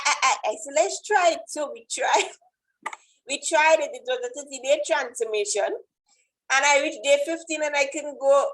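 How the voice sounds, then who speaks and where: A woman's voice: mid-range voice, poor audio. A woman with a Trinidadian accent giving an informal motivational presentation as a fitness coach, often checking in with "right?".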